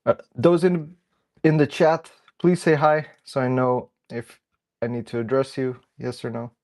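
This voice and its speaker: A young man with a bit of a cold hosts a relaxed livestream, talking as he works. He chats easily and greets viewers by name as they arrive, in a voice of ordinary middle pitch. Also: unhurried. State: sniffly. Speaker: livestream host. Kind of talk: casual live-stream chat while working.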